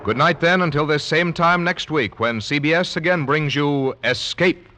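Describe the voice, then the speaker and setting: American man, vintage radio announcer, measured formal credit reading, fairly high pitch, clear music backing. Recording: vintage radio broadcast recording. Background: brass music.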